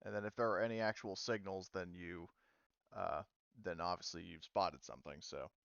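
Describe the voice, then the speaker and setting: A man in the game master's seat, patient and helpful, his explanations often trailing off quietly at the end. His voice sounds smooth and clean, but the dry, dull lo-fi mic gives it a flat, muffled sound. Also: low-pitched, moderate pace, dry recording. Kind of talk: explaining rules in a tabletop game.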